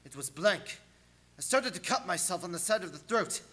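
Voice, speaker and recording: An actor playing an anxious English gentleman held captive, narrating his diary with earnest, suspenseful theatricality in a radio play. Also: sits high, lively intonation, moderate pace. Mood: mounting dread. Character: Jonathan Harker, anxious English gentleman, dread-filled captive diarist. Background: music.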